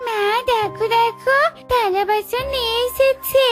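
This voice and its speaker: A woman's voice: very high voice, steady tone, unhurried, soft background music. A woman voicing a pleading, eager little bird child in a children's cartoon.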